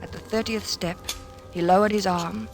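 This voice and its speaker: An actress playing an anxious, devoted wife who narrates an old-time radio drama. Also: female voice, medium pitch, steady tone, normal speed, over loud music, lightly acted. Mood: grave narration.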